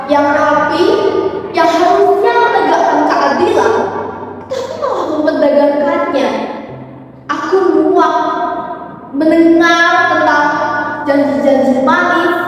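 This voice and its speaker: A woman delivers a dramatic theatrical monologue in an impassioned, rhetorical manner with emphatic pauses. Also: sits high. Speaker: monologue performer. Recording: distant microphone with music underneath. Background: background music.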